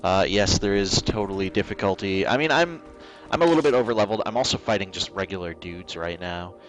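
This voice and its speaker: A man's voice: low-pitched, animated, fairly quick. A man giving casual live gameplay commentary as a streamer, offhand and dryly self-mocking. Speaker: game livestreamer. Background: game music.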